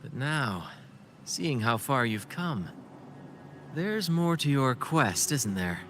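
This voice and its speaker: An actor playing a well-spoken young man who leads a travelling troupe in a video game, speaking in a measured, theatrical, articulate way. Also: mid-range voice, big pitch swings, background noise. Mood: warm resolve. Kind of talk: scripted video game story dialogue. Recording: game music behind the voice. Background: music.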